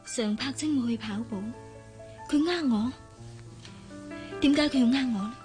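A woman playing a gentle, playful young auntie in a radio drama. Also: fairly high pitch, normal speed, faint music behind, close to an everyday voice. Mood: puzzled.

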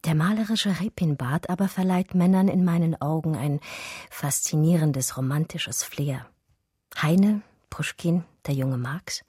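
Radio drama lead: romantic female intellectual, lowish voice, breathy edge, measured and intimate storytelling. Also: mellow, no echo, clean studio sound. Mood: dreamy, reflective. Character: romantic, daydreaming female art historian recalling an infatuation. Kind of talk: radio drama first-person narration, literary.

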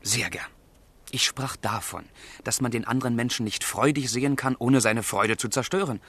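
Passionate, husky young romantic. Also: male voice, medium pitch, moderate pace, a bit bright. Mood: indignant. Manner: fervent, theatrical, confiding. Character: passionate, lovesick young gentleman, sensitive and volatile. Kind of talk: German literary radio drama acting.

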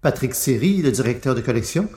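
A man's voice: mid-range voice, expressive, fairly quick, warm tone. A man hosting a podcast, reading a presentation aloud in a roomy recording. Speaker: podcast host. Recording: roomy sound with faint music.

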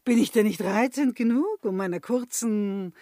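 An actress playing a saucy, quick-witted, curious chambermaid with a pert, teasing manner in a German period radio comedy. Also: female voice, fairly high pitch, mellow, no echo, studio quality, lightly acted. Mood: coquettish, teasing. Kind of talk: German radio play of an 18th-century comedy.

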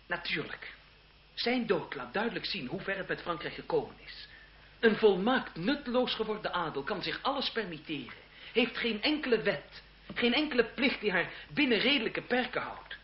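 Idealistic young seminarist, high voice, very expressive pitch, earnest, declamatory, radio drama, muffled recording. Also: male voice.

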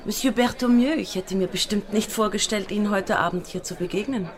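A woman's voice: mid-range voice, brisk, crowd noise, close to an everyday voice. An actress playing a proud, guarded young lady in a radio drama, speaking in a formal, reserved way. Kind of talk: German radio drama acting.

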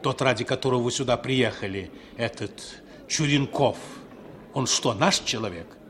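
Actor as suave mid-pitched spy contact. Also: male voice, moderate pace, fairly bright tone, a little hiss or hum, close to an everyday voice. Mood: businesslike. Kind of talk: 1960s radio drama acting.

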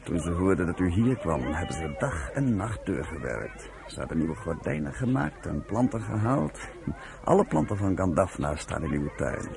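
Gravelly, low-voiced old professor. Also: male voice, sing-song, normal speed, crowd noise, heavily acted. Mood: reflective, kindly. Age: older. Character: kindly, talkative older professor running a youth village. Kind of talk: radio drama acting.

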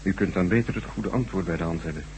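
An actor playing an obliging, principled chief computer programmer in a radio drama, measured, courteous and confiding. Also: male voice, sits low, darkish tone. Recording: noisy, humming old radio recording.

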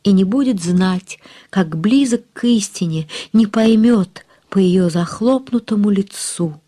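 A woman narrating a literary story as an actress would, with expressive, reflective and deliberate phrasing. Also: mid-range voice.

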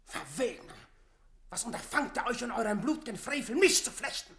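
A man's voice: extremely high pitch, sing-song, brisk, creaky, fairly bright tone, heavily acted. A man playing a panicked, guilty nobleman in a classical radio drama, with explosive, agitated theatrical delivery. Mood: panicked fury. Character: panicked, guilty male nobleman rejecting an ally.

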